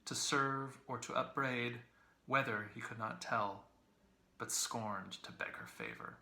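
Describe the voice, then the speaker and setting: A man reading literary prose aloud as an audiobook narrator, expressive yet measured and precise. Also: mid-range voice, vocal fry, echoey room. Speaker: audiobook reader, English professor.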